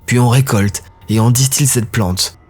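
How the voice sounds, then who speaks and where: A man voicing a ruthless male dark elf warlord who speaks in a cold, measured way. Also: mid-range voice, steady tone, lightly acted. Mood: menacing.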